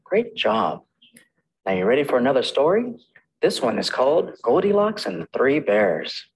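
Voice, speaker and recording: A man working as a children's librarian, telling fairy tales in a gentle, sing-song story time voice and speaking straight to the children. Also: medium pitch, sing-song, moderate pace, creaky, rough recording.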